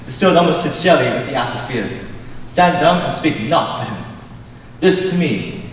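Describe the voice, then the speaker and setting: A man's voice: medium pitch, a bit bright, natural voice. A young man playing an earnest, formal Elizabethan courtier, declaiming grandly in a stage play. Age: young adult. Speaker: student stage actor. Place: large indoor school auditorium, on stage. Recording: echoey auditorium, distant mic, faint noise.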